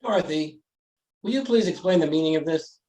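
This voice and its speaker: A man's voice: on the high side, animated, a little fast, mellow, recorded from a distance, lightly acted. A man playing an exasperated, baffled boyfriend in an old-time radio play, indignant and demanding. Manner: indignant, insistent questioning.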